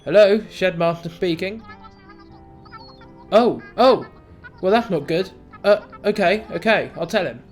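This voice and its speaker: A man voicing an excitable railway shedmaster in an audio drama, talking in a hurried, breathless way. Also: sits high, soft background music, voice pushed up. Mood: anxious.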